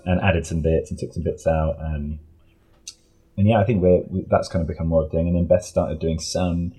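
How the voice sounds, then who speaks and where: A man's voice: deep voice, flat delivery, fairly quick, warm tone, husky. A man chatting casually as an interview guest, explaining things in a hesitant, rambling way. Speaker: audio drama creator and sound editor, interview guest.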